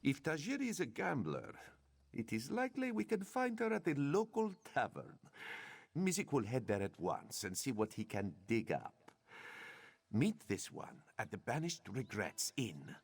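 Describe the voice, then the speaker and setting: An actor playing a formal, thoughtful cat-folk investigator in a fantasy game roleplay, speaking in a measured manner. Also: male voice, medium pitch, very animated, gravelly, slightly rough, no echo, full character voice. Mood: thoughtful, decisive. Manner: speaks of himself in third person, measured.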